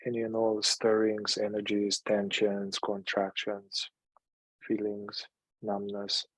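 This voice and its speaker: Slow, low-pitched man guiding meditation. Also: dry recording, poor audio. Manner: calm, unhurried, with long pauses. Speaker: meditation guide. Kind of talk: guided meditation.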